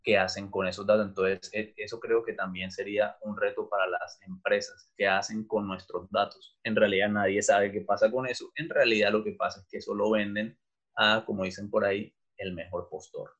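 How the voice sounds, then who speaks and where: A fairly low-pitched middle-aged man from Venezuela, speaking as an interviewed teacher in an easygoing, thinking-aloud way. He often pauses on fillers and restarts his sentences. The recording sounds dull and lo-fi. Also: flat delivery, fairly bright tone. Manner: thoughtful, many fillers and restarts. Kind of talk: casual interview.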